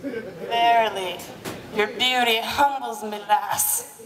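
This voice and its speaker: Woman doing a growling, lusty Highlander. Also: crowd noise. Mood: pleading, seductive. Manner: drawn-out, growling, seductive. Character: lusty, gallant Scottish Highlander man of romance parody. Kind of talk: comic dramatic reading of romance. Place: live stage at a bar party. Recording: echoey live hall, distant mic.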